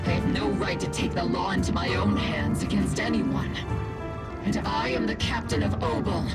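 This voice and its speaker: A man's voice: sits high, moderate pace, gravelly, loud music behind the voice. A man voicing a dutiful, principled seasoned soldier in a video game, earnest and solemn. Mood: resolute, pained. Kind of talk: dramatic video game voice acting.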